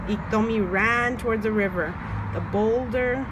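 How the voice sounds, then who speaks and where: A woman's voice: fairly high pitch, a little slow. Woman reading a folktale over background noise. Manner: expressive, asks the listener rhetorical questions. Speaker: storybook reader, a mother. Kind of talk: read-aloud children's folktale narration.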